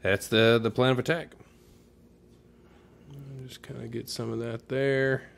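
A man's voice: mid-range voice, level tone, unhurried, vocal fry. A man giving a casual how-to narration in an informal, folksy way, thinking aloud as he goes, recorded in a room at home. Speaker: DIY demonstrator.

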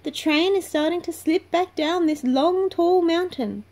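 A woman voicing a train flat car in a children's picture book, calling out warnings emphatically. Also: very high-pitched, measured pace, voice pushed up. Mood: alarmed. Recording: clearly noisy home recording.

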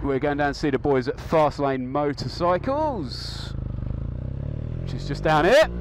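A man's voice: on the high side, big pitch swings, a little slow. Chatty man riding a motorbike. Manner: chatty, rambling, upbeat with filler ums. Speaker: motovlogger. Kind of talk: casual motorcycle vlog. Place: outdoors on a moving motorcycle. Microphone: helmet mic. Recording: faint road and engine noise.

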